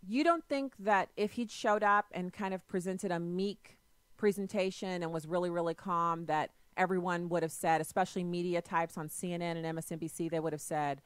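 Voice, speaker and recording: A woman's voice: fairly low pitch, steady tone, clean tone, no room sound. A woman hosting a political call-in radio show, pressing her caller with pointed questions. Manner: assertive, argumentative, quick to press questions. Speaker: radio talk show host.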